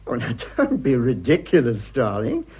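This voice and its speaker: An actor playing a prim, petulant middle-aged husband in a period radio drama, with an upper-class British accent and a fussy, spluttering, condescending delivery. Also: male voice, medium pitch, huge pitch swings, normal speed, slightly rough, muffled recording. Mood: incredulous, patronising.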